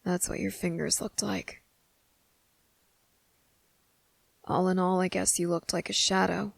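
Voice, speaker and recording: A woman voicing a guarded, defiant young boy with deliberate, halting, serious delivery in an ASMR roleplay audio drama. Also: lowish voice, little pitch movement, vocal fry, no echo. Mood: wary, probing.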